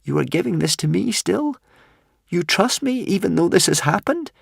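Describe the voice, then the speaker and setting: A man's voice: high-pitched, big pitch swings, normal speed, creaky, dry recording, studio quality, pitched above the performer's own voice, full character voice. A man voicing an anguished, earnest young hero boy in a storybook, crying out and pleading. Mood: tearful disbelief.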